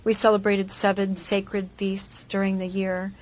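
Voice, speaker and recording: Even-toned woman, calm and measured. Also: mid-range voice, rough recording. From Cherokee nation, North America. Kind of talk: interview clip.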